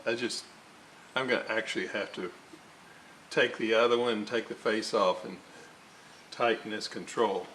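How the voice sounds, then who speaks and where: A man giving a casual hands-on repair walkthrough, halting and muttering to himself as he works. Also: lowish voice, fairly even intonation, fairly bright tone, a little hiss or hum, rough recording. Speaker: hobbyist radio restorer, presenter.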